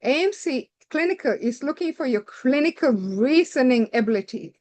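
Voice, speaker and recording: High-voiced woman tutoring exam candidates. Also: lively intonation, moderate pace, darkish tone. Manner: direct, instructive, repeats points with "so".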